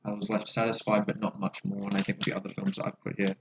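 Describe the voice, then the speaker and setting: A man's voice: fairly low pitch, monotone, quick pace, highs cut off. A man co-hosting a podcast, talking casually about films. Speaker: podcast co-host. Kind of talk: casual film discussion. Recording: echoey room with clear background noise.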